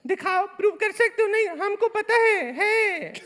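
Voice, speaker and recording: A jilted, clingy bride-to-be with an extremely high voice, nagging and threatening in theatrical style. Her Indian accent slips into Bihari. It is a live comedy skit in a big reverberant hall. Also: lively intonation, a little slow, a bit bright, exaggerated voice. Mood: insistent. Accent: Indian, slipping into Bihari. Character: melodramatic jilted young woman demanding marriage, clingy and threatening. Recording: echoey hall, live stage.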